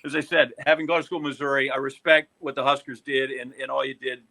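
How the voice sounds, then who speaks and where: A man's voice: medium pitch, little pitch movement, fast, creaky, sharp tone, poor audio. An American man hosting a casual sports podcast in a joking, teasing manner. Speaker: podcast host.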